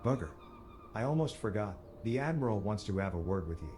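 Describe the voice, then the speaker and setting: Low-voiced man as teasing, folksy sailor. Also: lively intonation, a little fast, warm tone, natural voice. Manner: colloquial, teasing. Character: teasing, folksy male sailor with salty dialect. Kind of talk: scripted video game dialogue. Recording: music behind.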